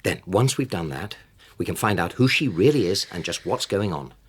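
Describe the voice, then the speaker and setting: An actor playing a harassed middle-aged English husband in a naturalistic radio drama, insistent, defensive and exasperated. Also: male voice, sits low, lively intonation, normal speed, a little hiss or hum. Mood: earnest.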